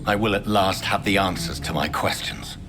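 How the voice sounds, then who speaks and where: A man plays a troubled newborn vampire with a low voice, a grim and intense doctor in a game. His lines are terse and grave, set over music. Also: normal speed, close to an everyday voice. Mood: grimly determined. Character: brooding, determined male doctor turned newborn vampire. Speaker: voice actor in a video game. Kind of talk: scripted game dialogue and monologue. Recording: music under the voice.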